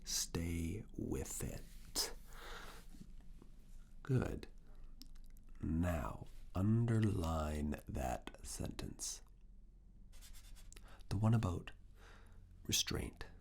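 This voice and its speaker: A man performing an intimate ASMR roleplay as a stern, teasing professor, speaking deliberately in a breathy whisper and low murmur. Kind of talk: ASMR personal attention roleplay.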